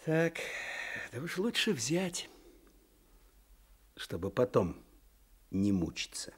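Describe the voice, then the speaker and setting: A man's voice: mid-range voice, sing-song, slow, husky, full character voice. An actor playing a wry, knowing provincial Russian man with a sly manner in a radio drama. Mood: cautioning.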